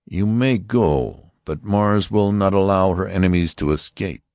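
A man voicing a stern male Martian officer who interrogates passengers in a slow, commanding, threatening way. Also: low-pitched, a little mellow, dry recording, clean studio sound, pitched above the performer's own voice. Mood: menacing.

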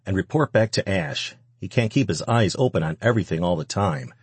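A man voicing a composed winged male mentor, calm and instructive, in a story reading. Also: deep voice, a little fast, breathy edge, no room sound, full character voice. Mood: casual, unruffled.

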